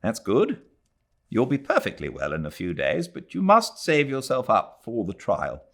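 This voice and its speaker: A man's voice: on the low side, huge pitch swings, normal speed, pitched above the performer's own voice, heavily acted. A man voicing a stiff, exasperated young Englishman who speaks in a clipped, brusque way. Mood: brusque impatience.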